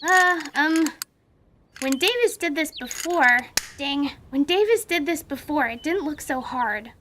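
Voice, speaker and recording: A woman's voice: high voice, expressive, a little slow, background noise. A woman voicing a bold, blunt little girl in a radio drama, speaking frankly. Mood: puzzled. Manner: frank, chattery, plain-spoken.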